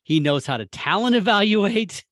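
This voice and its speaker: An American man co-hosting a casual sports chat, speaking in opinionated, rambling and animated bursts. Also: high voice, moderate pace, no echo, clean studio sound. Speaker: sports talk co-host.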